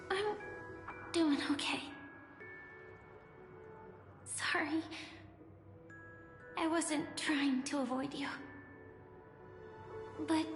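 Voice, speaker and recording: An actress playing a gentle, shy, wistful young woman in a video game, soft-spoken and hesitant. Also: high voice, heavily acted. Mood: hesitant, apologetic. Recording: reverberant hall echo, music behind.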